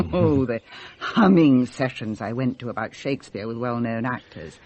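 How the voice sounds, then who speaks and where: A woman's voice: low-pitched, darkish tone, husky, heavily acted. An actress playing a bored, rebellious upper-class married mother in a radio play, with an English accent and an articulate, self-mocking, theatrical manner. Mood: bitter, fervent. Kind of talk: British radio play acting.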